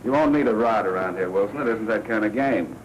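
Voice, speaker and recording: Low-voiced menacing noir crime boss, acted by a man. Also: quick pace, close to an everyday voice. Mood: sardonic. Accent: American. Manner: sardonic, needling, tough slangy talk. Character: menacing, sardonic crime boss, jealous husband. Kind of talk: 1940s film noir acting. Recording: noisy old 1940s film soundtrack.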